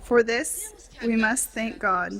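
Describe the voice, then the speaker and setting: A woman reading a translated tribute and speech as a voice-over, in measured, formal phrasing with short clauses. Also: a little high, big pitch swings, fairly bright tone.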